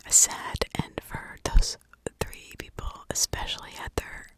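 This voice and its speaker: A woman whispering in an informal ASMR style, telling stories and giving her own opinions in a breathy, conversational way. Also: slow pace, a bit bright. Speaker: ASMR true crime storyteller, channel host.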